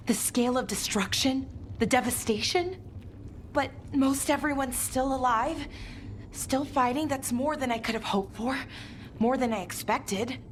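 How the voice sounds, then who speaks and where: A woman voicing a gentle, hopeful young female adventurer in a fantasy video game, sincere and a little hesitant. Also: on the high side, a little hiss or hum. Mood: shaken, hopeful. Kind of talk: video game character acting.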